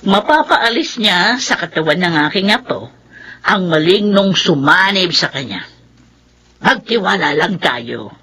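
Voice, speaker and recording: Casting: devout old grandmother, reassuring, very animated pitch, radio drama with faint noise. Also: unhurried, heavily acted. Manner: earnest, reassuring. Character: devout, trusting elderly grandmother.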